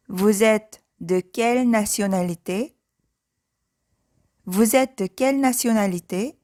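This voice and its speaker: A woman language teacher reads short practice sentences from a script. Her voice sits at an average pitch, and she enunciates each phrase carefully in a measured, instructional way. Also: dark tone. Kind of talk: scripted language drill, sentences read out and repeated.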